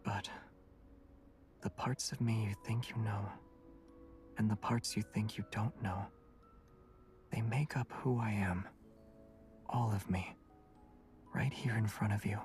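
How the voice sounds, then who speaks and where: An actor playing a calm, guarded young male student with secrets, speaking in a measured way for a video game. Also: fairly low pitch, recorded from a distance, soft background music. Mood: calm, reflective.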